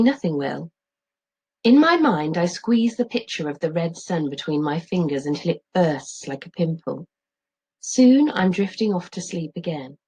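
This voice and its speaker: A woman's voice: fairly low pitch, darkish tone, husky. A middle-aged woman, a teacher reading a children's story aloud expressively.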